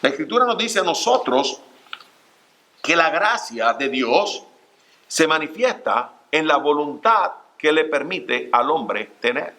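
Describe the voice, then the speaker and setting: A middle-aged man preaching a church sermon, earnest and direct, calling his listeners brothers and pausing on fillers. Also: a little high, sing-song, bright, forceful tone. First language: Spanish. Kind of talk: Spanish-language church sermon. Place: church sanctuary.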